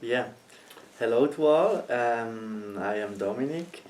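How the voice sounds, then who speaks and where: Slow, hesitant young man from Switzerland. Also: mid-range voice, lively intonation, a little mellow. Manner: hesitant, searching for words, many ums. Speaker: guest interviewer, student. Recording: voice heard from a distance.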